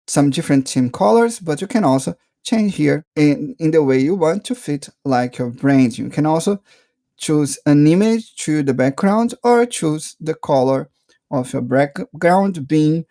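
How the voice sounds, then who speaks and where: A man narrating a casual software tutorial, explaining step by step in a halting way and checking in often. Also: on the high side, dry recording, clean studio sound. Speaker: software reviewer and tutorial presenter.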